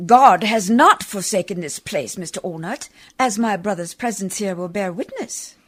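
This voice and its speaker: Woman playing a prim, animated missionary lady. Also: mid-range voice, normal speed, natural voice. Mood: indignant. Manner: formal, genteel, emphatic. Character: prim, devout missionary woman, proper and indignant. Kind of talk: amateur radio play acting in old Hollywood style.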